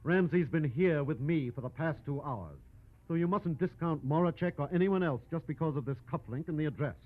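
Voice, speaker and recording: A man's voice: fairly high pitch, a little fast, natural voice. An actor playing an earnest, cautious man who helps a detective in an old radio drama, precise and insistent. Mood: concerned. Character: earnest, anxious male wheelchair user, cautious ally. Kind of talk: 1940s-50s radio drama acting. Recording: muffled vintage radio recording.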